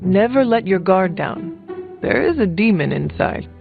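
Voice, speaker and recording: An actor playing a stoic, solemn warrior hero in a video game, speaking in terse, formal, earnest phrases. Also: male voice, high voice, very animated, unhurried, creaky, in a large hall, narrow, tinny audio, music in the background, full character voice. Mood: grave, stern. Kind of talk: video game character dialogue.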